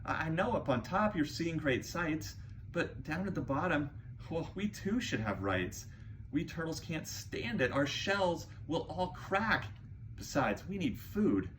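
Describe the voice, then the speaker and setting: A man's voice: fairly high pitch, sing-song, a little slow, background noise, voice pushed up. A man voicing a meek, aching little turtle from a storybook, pleading politely in a deferential way. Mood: pleading, pained.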